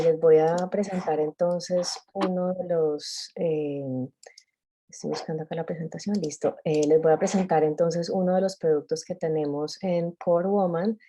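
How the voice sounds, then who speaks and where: Colombian woman presenter on a video call, low mellow voice, explanatory, pauses with fillers. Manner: explanatory, with frequent filler sounds. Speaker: webinar presenter. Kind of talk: webinar presentation. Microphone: video call microphone.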